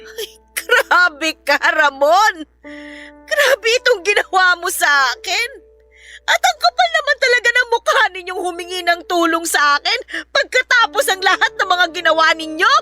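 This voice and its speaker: A woman playing a bitter, sharp-tongued betrayed older wife in a Filipino radio drama, scornful, taunting and emphatic. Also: very high voice, expressive, moderate pace, faint music behind, exaggerated voice. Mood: bitter indignant.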